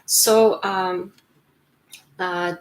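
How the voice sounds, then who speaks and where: A woman in her forties with a Ukrainian accent, talking earnestly to her live stream viewers in a halting way, with many fillers and restarts. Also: fairly low pitch, steady tone, poor audio. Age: 40s. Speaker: writer streaming to her channel. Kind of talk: casual live stream monologue.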